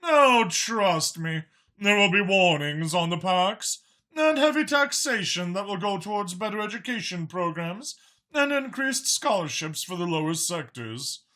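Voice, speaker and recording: Audiobook dragon spymaster, male actor: mild-mannered yet ruthless, formal diction, forceful edge, very animated pitch. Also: measured pace, heavily acted. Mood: assured, animated. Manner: articulate, formal, matter-of-fact about killing. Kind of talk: audiobook character dialogue.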